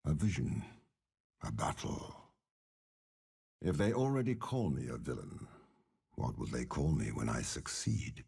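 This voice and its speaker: An actor playing a stern, scheming old general in a video game, with a gravelly, raspy voice and a commanding, deliberate, sardonic delivery. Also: male voice, extremely low pitch, big pitch swings, mellow, full character voice. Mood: menacing, commanding. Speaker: game character. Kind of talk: dramatic game voice lines.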